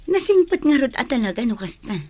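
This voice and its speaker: A woman's voice: high voice, big pitch swings, brisk, darkish tone. A woman playing a worried rural wife and mother in a radio drama, with an Ilocano Filipino accent. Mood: concerned.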